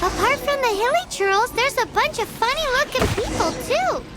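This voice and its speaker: A woman voicing a tiny floating girl companion in a video game, excitable and speaking of herself in the third person. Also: extremely high pitch, moderate pace, very noisy. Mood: chirpy curious. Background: game music.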